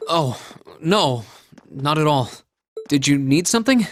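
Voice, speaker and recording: A man's voice: a little high, lively intonation, unhurried, dry recording, studio quality. An actor playing an earnest, easygoing young male academy student in a video game, polite and friendly. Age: young adult. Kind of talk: English anime-style game voice acting.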